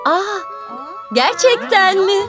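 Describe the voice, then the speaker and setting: An actress playing a kind, patient schoolteacher in a children's cartoon, gentle and encouraging. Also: female voice, very high-pitched, very animated, slow pace, over music, full character voice. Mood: surprised. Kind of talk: cartoon dub voice acting.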